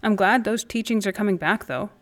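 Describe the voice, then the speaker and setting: A woman's voice: mid-range voice, brisk, close to an everyday voice. A woman playing a candid, indignant friend in a naturalistic audio drama conversation. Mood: questioning. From Canada, Saskatchewan.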